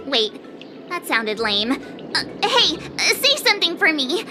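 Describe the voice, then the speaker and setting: A woman's voice: very high voice, very animated, slow pace, heavily acted. A woman voicing an eager, perky teenage girl sword apprentice in a video game, earnest, boastful and easily flustered. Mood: defiant. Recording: music under the dialogue.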